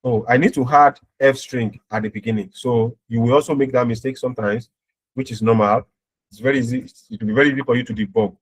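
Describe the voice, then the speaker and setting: A man's voice: medium pitch, steady tone, fast, poor audio. A man giving an informal step-by-step programming tutorial as an instructor, often checking in with short tag words as he explains.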